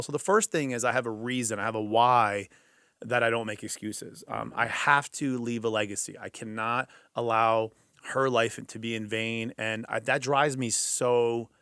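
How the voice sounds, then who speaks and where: Middle-aged guy, greek first language, low gravelly voice, earnest and motivational, dry close sound. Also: pushed and bright, no echo. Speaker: podcast guest. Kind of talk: casual interview talk.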